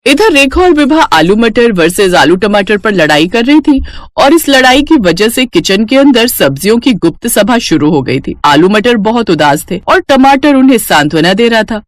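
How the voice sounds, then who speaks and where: A woman's voice: fairly high pitch, very animated, moderate pace, dry recording, studio quality. A woman narrating a scripted moral story as the storyteller.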